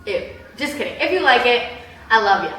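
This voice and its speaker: A young woman chatting casually in a personal vlog, with a bubbly, animated, giggly way of exaggerating things. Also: fairly high pitch, a little fast. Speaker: vlogger. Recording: roomy echo, distant mic, background hiss.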